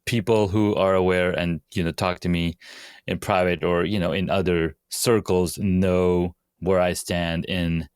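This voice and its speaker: A man from Pakistan, a podcast guest, answering questions in a measured, earnest way. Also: sits low, level tone, clear, resonant tone, no echo, studio quality. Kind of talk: casual interview answers.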